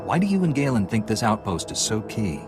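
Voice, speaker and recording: An actor playing a calm, resolute warrior-monk hero with a measured, direct manner in a video game. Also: male voice, on the low side. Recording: clear background music.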